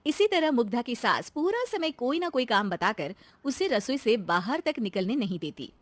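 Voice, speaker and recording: A woman narrates a scripted family moral tale. Her voice sits high and moves in animated swings of pitch. The recording is close and dry. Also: normal speed, dry recording. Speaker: story narrator.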